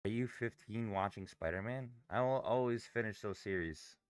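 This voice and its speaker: A man whose voice is going hoarse, chatting casually with viewers on a live trading stream. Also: fairly low pitch, moderate pace, no echo, studio quality. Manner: chatty, banters with chat while reading charts. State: hoarse, losing his voice.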